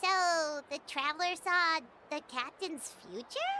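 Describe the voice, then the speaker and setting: A woman voicing a tiny, excitable, childlike fairy companion in an anime game, with a squeaky voice. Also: slow pace, background noise. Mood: curious. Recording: music behind.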